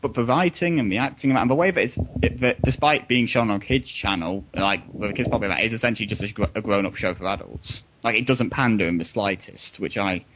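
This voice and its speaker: A man's voice: on the low side, a little fast, hardly any pauses, fairly bright tone, a little hiss or hum. A man on a podcast panel, giving opinionated, rambling takes on films in casual chat with some swearing. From United Kingdom. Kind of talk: casual film discussion. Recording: dull lo-fi voice chat.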